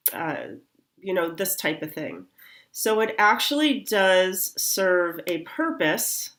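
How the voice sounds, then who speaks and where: A middle-aged woman, a chiropractor, explaining sinus anatomy in an unhurried health lesson, her voice a little nasal from congestion. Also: lowish voice, a bit bright. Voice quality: slightly nasal. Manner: slow, unhurried explaining with fillers.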